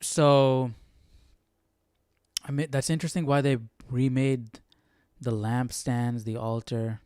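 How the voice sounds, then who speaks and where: A man's voice: medium pitch, flat delivery, takes it slowly, a little mellow, clear, resonant tone, dry recording, studio quality. A young man hosting a Bible study stream, casually thinking aloud in a hesitant, questioning way. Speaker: Bible study livestream host.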